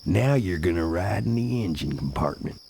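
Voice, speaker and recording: An actor playing a shady, folksy trucker and smuggler, with a gruff, cocky, colloquial way of talking. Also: male voice, sits low, expressive, normal speed, exaggerated voice. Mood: cocky, scheming. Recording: loud music behind, game audio.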